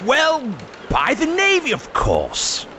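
An actor playing a posh, unflappable old-fashioned British military chap in a video game, with chummy understatement and an upper-class accent. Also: male voice, extremely high pitch, huge pitch swings, takes it slowly, heavy background noise, exaggerated voice. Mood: breezy, unruffled. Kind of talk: video game cutscene acting.

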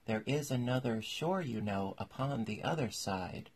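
A man's voice: medium pitch, expressive, unhurried, slightly rough. A man voicing a melancholy, sentimental turtle creature from a storybook, solemn and mournful in manner. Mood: solemn, sad.

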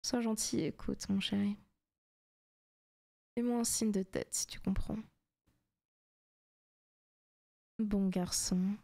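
Possessive female demon played by a woman, seductive ASMR roleplay: soft, intimate, teasing, frequent whispering. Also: mid-range voice, fairly even intonation, unhurried, creaky. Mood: coaxing, tender.

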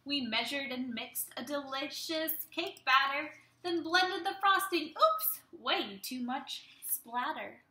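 A young woman teacher reading a storybook aloud to young children in an animated, expressive way, speaking to them directly. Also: high voice, slow, few pauses. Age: young adult. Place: small room at home. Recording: roomy, echoey home webcam sound.

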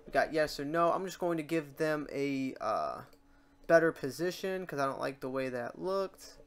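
A tutorial host chatting informally and thinking aloud in a meandering way while working through software steps. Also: mid-range voice, expressive, moderate pace. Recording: noisy room, keyboard and mouse clicks. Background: typing and mouse clicking.

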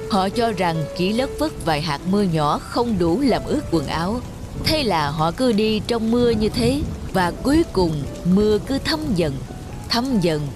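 Người nữ lồng tiếng người cha thông thái, nhạc nền rõ. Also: female voice, mid-range voice, lightly acted. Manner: measured, instructive. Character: patient, wise village father and teacher, gently moralizing. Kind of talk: cartoon dialogue, moral storytelling. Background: music.

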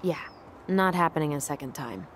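A woman's voice: deep voice, lively intonation, normal speed, a bit bright, a little hiss or hum. An actress playing a dry, guarded, teasing young American woman in naturalistic video game dialogue. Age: young adult.

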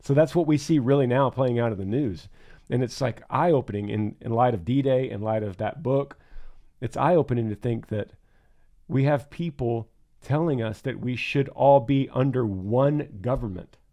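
Man, podcast co-host, mellow voice, mid pitch, earnest, reflective. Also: normal speed. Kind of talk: casual podcast talk.

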